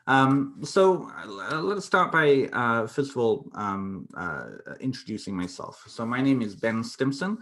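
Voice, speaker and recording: A man's voice: lowish voice, fairly bright tone. A young British man from North Wales, a counsellor giving an online presentation, talking with many fillers and restarts. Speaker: counsellor presenting a folklore talk. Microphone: computer mic on a video call.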